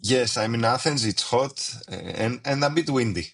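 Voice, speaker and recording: A man's voice: medium pitch, animated, moderate pace, vocal fry, fairly bright tone. Hesitant Greek-accented man. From Greece. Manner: hesitant, many fillers and restarts, non-native phrasing. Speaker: winemaker guest. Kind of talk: casual interview.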